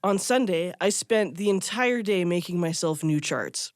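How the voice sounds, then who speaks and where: A woman's voice: on the low side, lively intonation, measured pace, no echo, clean studio sound. A woman telling a personal story in a casual, candid, self-deprecating way, like an interviewee. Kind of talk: casual conversational anecdote.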